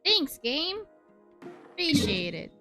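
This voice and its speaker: A woman's voice: extremely high pitch, big pitch swings, takes it slowly. A woman streamer giving casual, chatty gaming commentary with a playfully sarcastic manner. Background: loud game music.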